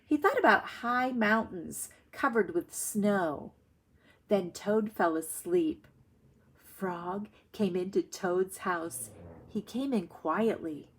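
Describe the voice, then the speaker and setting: A woman teacher reading aloud to young children and explaining her thinking in a deliberate way. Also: mid-range voice, lively intonation, husky, poor audio. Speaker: primary school reading teacher.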